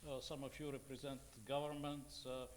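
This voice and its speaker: A man in his sixties with a Bulgarian accent, speaking off the cuff as a journalist on a conference panel, haltingly and with many fillers and repeats. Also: medium pitch, flat delivery, a little hiss or hum. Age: 60s. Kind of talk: unscripted panel remarks.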